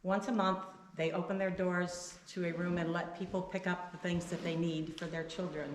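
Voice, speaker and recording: A woman leading a church service, introducing a guest speaker in a measured, plain way. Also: on the low side, level tone. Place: church sanctuary. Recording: echoey church, clear background noise.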